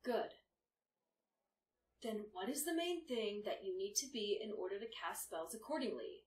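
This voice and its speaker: A woman's voice: mid-range voice, sing-song, normal speed, natural voice. A woman voicing a mildly stern, reassuring female unicorn mentor of magic, firm and confident, in an audio fan-fiction reading. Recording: roomy, distant mic with faint noise.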